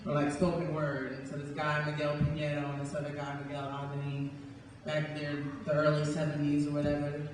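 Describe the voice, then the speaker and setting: A woman's voice: low voice, monotone, fairly quick. Young New woman in an echoing hall. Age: young adult. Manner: dramatic, rhythmic, emphatic delivery when reciting. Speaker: spoken word poet. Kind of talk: live spoken word performance, with casual chat to the audience. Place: large indoor hall before an audience. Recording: echoey hall from far off, noisy.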